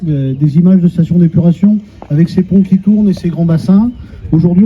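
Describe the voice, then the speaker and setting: A man giving an informal, colloquial explanatory talk to visitors on site, simplifying technical points as he goes. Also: high voice. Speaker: company executive presenting a water treatment plant. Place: on site at a treatment plant. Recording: dull sound, heavy ambient noise.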